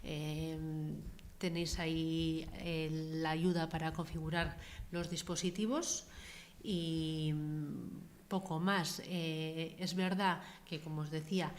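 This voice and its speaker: Low-voiced woman presenting a webinar. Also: slight background noise. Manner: steady explaining with occasional fillers. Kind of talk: informative webinar talk.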